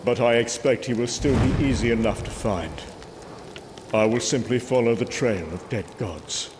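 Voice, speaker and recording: A man voicing a brooding god who narrates in the first person, solemn and measured, in a scripted comic book drama. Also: medium pitch, noisy background, natural voice. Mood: grim resolve. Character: brooding male god recalling a haunting horror. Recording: dramatic music behind the voice.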